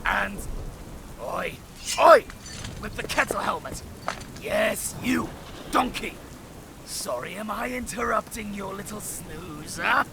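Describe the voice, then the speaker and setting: Gravelly, high-strung knight captain, arrogant war veteran, scornful barking, fantasy audio drama, storm ambience behind. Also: male voice, expressive, takes it slowly, pauses often, background noise, full character voice. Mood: scornful, sarcastic. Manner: barking, scornful, sarcastic, military. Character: arrogant, short-tempered veteran male knight captain berating soldiers.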